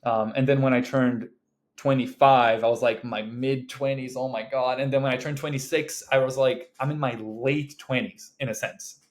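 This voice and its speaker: A man's voice: mid-range voice, fairly even intonation, moderate pace. A man in his late twenties chatting casually in a vlog, rambling with lots of "like" and "um" and casual swearing. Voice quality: rambling, heavy use of fillers like "like" and "um", swears casually. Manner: laughs between thoughts. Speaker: video creator. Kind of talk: casual talking-to-camera vlog.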